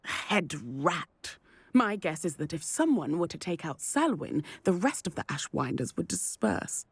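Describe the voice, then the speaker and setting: A woman's voice: fairly low pitch, big pitch swings, unhurried, husky, no room sound, exaggerated voice. Indignant village woman, swooping dramatic delivery. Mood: indignant, exasperated. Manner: dramatic, emphatic, formal. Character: indignant, fretful village shopkeeper woman, wary of outlaws. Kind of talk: video game cutscene acting.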